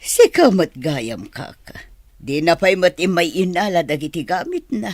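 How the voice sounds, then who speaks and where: A woman's voice: lowish voice, big pitch swings, a little slow, a little mellow, no room sound. A woman playing a blunt village gossip in a radio drama. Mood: prying.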